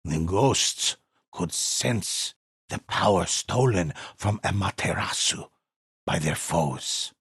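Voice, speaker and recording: An actor playing an older, solemn but inviting storyteller who recounts ghostly samurai legends in a theatrical, gravelly, husky voice for a video game. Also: male voice, deep voice, animated, fairly bright tone, dry recording, clean studio sound, heavily acted. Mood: ominous, solemn. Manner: measured, theatrical, savoring words. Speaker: storyteller narrator. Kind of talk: dramatic scripted tale-telling.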